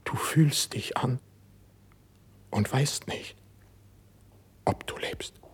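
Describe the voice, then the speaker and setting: A man playing a young, proud, wounded poet in a classic verse radio drama, declaiming intensely with a breathy voice. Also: medium pitch, drawn-out words, in a whisper. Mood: anguished, dazed.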